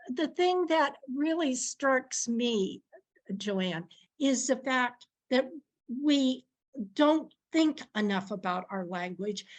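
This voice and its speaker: A woman with a fairly high voice speaks slowly as a guest answering questions over a video call. She is thoughtful, often restarts her sentences and laughs softly between thoughts. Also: poor audio. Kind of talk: answering questions in an online session.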